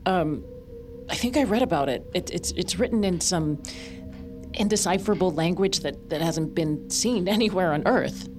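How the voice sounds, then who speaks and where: A woman's voice: lowish voice, animated, normal speed, music in the background, close to an everyday voice. An actress playing a skeptical, wry woman investigating the occult, talking casually and a little hesitantly in a naturalistic audio drama. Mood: hesitant, doubtful. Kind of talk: naturalistic audio fiction acting.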